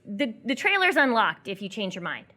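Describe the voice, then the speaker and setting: A woman's voice: medium pitch, very animated, brisk, sharp tone. A woman playing a blunt, teasing young eatery worker in live radio theatre, with a casual, offhand way of talking. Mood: teasing, curious. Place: live stage performance before an audience.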